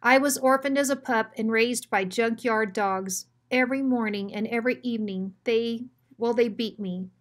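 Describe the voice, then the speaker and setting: A woman's voice: on the high side, normal speed, lightly acted. A big ugly junkyard Rottweiler from a children's storybook, acted out by a woman reader. He is a blunt bully who speaks with dramatic emphasis. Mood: mournful, self-pitying. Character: big ugly bullying male Rottweiler, gruff, then self-pitying.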